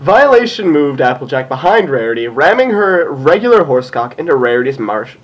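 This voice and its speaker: A man's voice: on the high side, very animated, brisk, dull audio, slight background noise. A man reading fan fiction aloud for comedy, casual and giggly, with amused asides.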